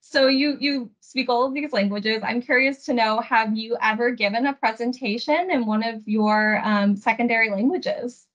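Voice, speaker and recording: Young woman host, higher voice, friendly and polished, slightly dull video-call sound. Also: moderate pace, hardly any pauses, fairly bright tone. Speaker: interview host.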